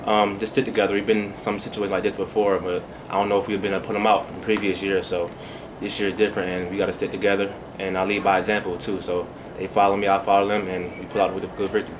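A young man speaks quickly in a deep, flat voice with brief, modest answers. An air conditioner hums clearly in the small room around him. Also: noisy background. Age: young adult. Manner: brief, modest, few words. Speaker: senior college basketball player. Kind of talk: postgame press conference answers. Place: small press room, indoors.

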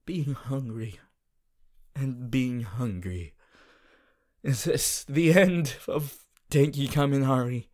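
A man voicing a goofy, melodramatic teenage anime boy in a fan audio roleplay, whiny and drawn-out, talking to the listener. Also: medium pitch, darkish tone, close to an everyday voice. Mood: melodramatic despair.

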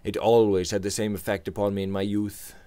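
A man doing a proud, curt young gentleman. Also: fairly low pitch, little pitch movement, a little fast, clear, resonant tone, no echo, close to an everyday voice. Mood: frank. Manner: curt and clipped.

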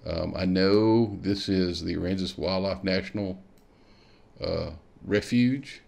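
A man's voice: low voice, slow, gravelly, darkish tone. A man hosts a kayak fishing show, walking viewers through a map on screen and thinking aloud as he clicks. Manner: thinking aloud, musing with hmms while clicking. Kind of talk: screen-share tutorial walkthrough.

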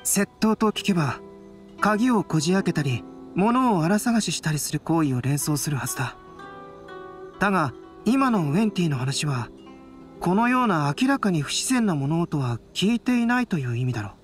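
A composed young male scholar from an anime game. The delivery is measured and analytical, with a wide-moving intonation. Music plays underneath. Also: fairly low pitch, very animated. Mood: calm reasoning. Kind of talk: Japanese anime game voice acting. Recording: background music behind voice.

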